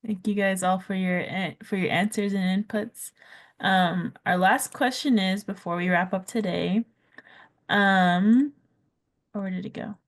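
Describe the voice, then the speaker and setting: A woman's voice: mid-range voice, unhurried. Friendly woman moderating a panel.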